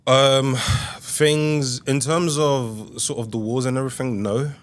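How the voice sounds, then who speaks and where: A young man from Congo, a podcast guest, telling his life story in a soft, hesitant way with many pauses. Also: medium pitch, fairly even intonation. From Republic of Congo. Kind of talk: reflective interview storytelling.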